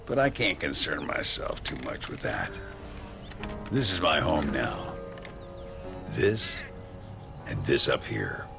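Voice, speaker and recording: An actor playing a boastful, rambling old gunslinger with a Western American accent and a theatrical drawl, in a video game cutscene. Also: male voice, rumbling low, sing-song, over music, heavily acted. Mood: amused, genial. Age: older. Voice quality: gravelly, drawling. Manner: theatrical, halting, self-mythologizing.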